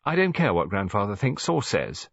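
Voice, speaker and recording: A man acts out a headstrong young English woman from a storybook. She talks quickly and sharply, with a defiant edge and swooping intonation. The recording is dry and slightly muffled. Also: fairly low pitch, very animated, vocal fry, fairly bright tone, husky, no room sound, full character voice.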